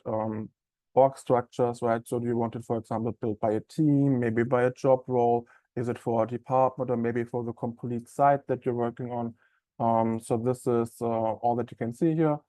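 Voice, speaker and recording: German-accented man giving a software webinar. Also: mid-range voice, no echo. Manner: friendly, conversational, frequent ums and uhs. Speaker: software implementation specialist presenting a webinar. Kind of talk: live product webinar presentation.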